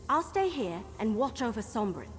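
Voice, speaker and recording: Animated, eager archer companion. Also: female voice, a little high, moderate pace, slight background noise. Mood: eager, scheming. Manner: animated, conspiratorial. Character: resourceful, eager young female archer companion. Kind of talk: fantasy game dialogue. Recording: hall reverb, music behind.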